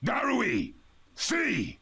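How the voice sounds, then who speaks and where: A man's voice: high voice, huge pitch swings, drawn-out words, over a noisy crowd, exaggerated voice. An actor playing a hot-tempered male ninja leader in a game cutscene, who barks his words. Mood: angry. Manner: gravelly, barking.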